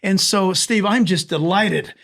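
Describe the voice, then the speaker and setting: A man's voice: sits high, measured pace, vocal fry, a bit bright. A man hosting his podcast as a pastor, effusive and eager in his welcome and questions. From San Diego area. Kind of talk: enthusiastic interview hosting.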